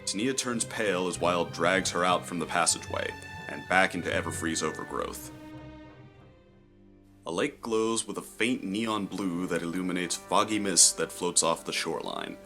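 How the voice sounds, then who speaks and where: A man's voice: low-pitched, lively intonation, moderate pace, bright, forceful tone, soft background music. A man reading scripted, dramatic third-person story narration for a fantasy audio drama.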